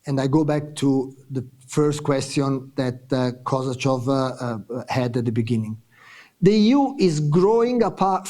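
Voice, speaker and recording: A man with a mellow, fairly high voice delivers prepared remarks at a conference panel. His delivery is measured and formal.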